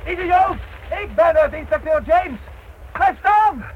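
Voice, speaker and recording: An actor playing a commanding, impatient police inspector in a radio drama, shouting urgently. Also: male voice, very high-pitched, takes it slowly. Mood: urgent, exasperated. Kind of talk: Dutch radio drama acting. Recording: dull, faintly noisy old radio recording.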